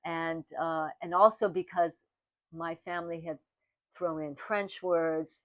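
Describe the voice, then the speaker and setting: An older woman whose first language is Armenian, giving oral history testimony in a reflective, halting way, often restarting her sentences. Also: on the low side, steady tone, a bit bright, no echo. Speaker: interviewee. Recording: dry, dull lo-fi recording.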